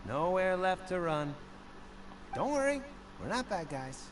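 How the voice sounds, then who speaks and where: A man's voice: high-pitched, sing-song. An actor playing a cocky, greedy street thug in a video game, smugly needling and drawing out his taunts. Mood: smug menace. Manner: smug, needling, drawn-out taunts. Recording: noisy game audio within a livestream.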